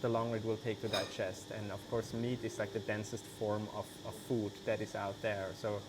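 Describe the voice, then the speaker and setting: A man, an English speaker, talking casually as an interview guest, loose and relaxed, full of "like" and "you know". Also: fairly low pitch, a little fast, background noise. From Switzerland. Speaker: guest, vegan cheese maker.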